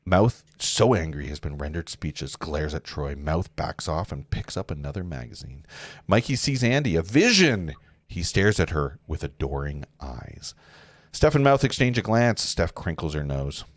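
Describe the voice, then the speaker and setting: A man's voice: rumbling low, sing-song, normal speed, no room sound. A man reading stage directions at a live table read, informal and joking, sometimes stumbling over his lines. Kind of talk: live script reading with casual asides.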